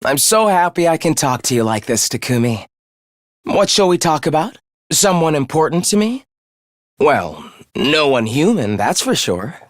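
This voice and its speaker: An actor playing a polite, softly menacing young man who loathes humanity, speaking in a formal, theatrical, condescending way for a video game. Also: on the high side, sing-song, a little slow, no echo, studio quality. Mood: polite, teasing. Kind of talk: English video game voice acting.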